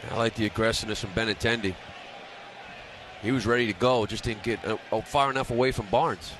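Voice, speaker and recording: Fast-talking male baseball analyst, noisy ballpark. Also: on the low side, fairly even intonation. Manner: colloquial, uses player nicknames and slang. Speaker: color analyst. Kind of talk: informal baseball color commentary. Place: ballpark broadcast booth. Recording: radio broadcast with loud ballpark crowd noise.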